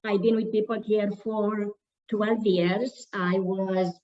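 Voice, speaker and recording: A woman from Romania, a staff member telling her story at an online panel, halting with many fillers and restarts. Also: mid-range voice, little pitch movement, warm tone, far from the mic, highs cut off.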